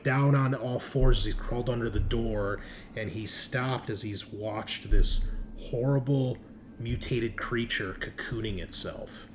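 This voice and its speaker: Male game master, improvised narration, informal and sweary, medium pitch, audible background noise. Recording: clearly noisy room recording.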